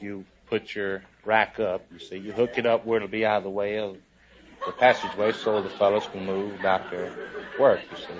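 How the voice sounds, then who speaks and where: Male sailor contestant, fairly deep voice, folksy and casual, muffled vintage radio sound. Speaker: navy sailor, show contestant. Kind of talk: casual interview answers. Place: studio in front of audience. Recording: noisy muffled 1950s radio broadcast recording.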